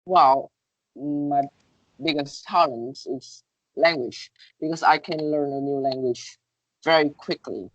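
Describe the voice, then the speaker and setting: A woman whose first language is Vietnamese answers contest interview questions in English. Her voice sits low and sounds dry and close. She hesitates often, filling gaps with "uh" and "like" as she reaches for words. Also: takes it slowly, no echo, highs cut off. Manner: hesitant, searching for words, many fillers.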